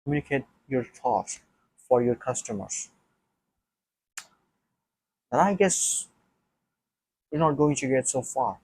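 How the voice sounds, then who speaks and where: Non-native English male, husky mid voice, self-talk to camera, repeats and restarts a lot. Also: mid-range voice, darkish tone, no echo, poor audio. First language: not English. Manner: thinking aloud with many restarts and repeats. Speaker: language learner. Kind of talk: casual solo talk to camera.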